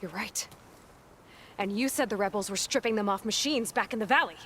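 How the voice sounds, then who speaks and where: A woman's voice: mid-range voice, a little fast, fairly bright tone, a little hiss or hum, lightly acted. Direct, determined heroine played by a woman. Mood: earnest, insistent. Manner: direct, matter-of-fact, insistent. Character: determined, headstrong young heroine, bold and practical. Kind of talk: naturalistic video game acting.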